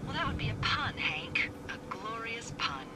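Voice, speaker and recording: An actress playing a wry, teasing fire lookout supervisor in a video game, talking casually and dryly over a walkie-talkie. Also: female voice, a little high, very animated, moderate pace, very noisy. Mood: playful teasing. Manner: dry, playful, conversational. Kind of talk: naturalistic video game voice acting, casual banter.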